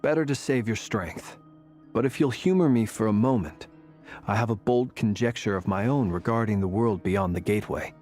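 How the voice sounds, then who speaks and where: An actor playing a courteous, analytical gentleman in a fantasy game, speaking politely and deliberately. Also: on the low side, faint music behind, lightly acted. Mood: politely probing.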